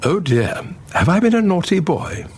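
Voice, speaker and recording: An actor with an upper-class English accent playing a pompous, oblivious older museum director in a radio sitcom, with theatrical, old-fashioned politeness. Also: male voice, high voice, sing-song, normal speed. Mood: evasive.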